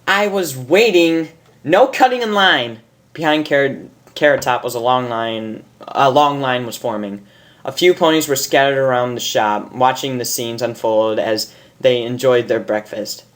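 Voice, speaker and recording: A woman reading fan fiction aloud in an amateur, halting way, stumbling over words and restarting. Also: a bass voice.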